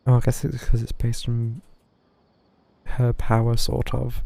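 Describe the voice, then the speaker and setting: A man's voice: medium pitch, completely flat delivery, measured pace, vocal fry, dark tone, no room sound. A man giving casual live playthrough commentary as a streamer, with offhand, muttered reactions. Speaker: game streamer.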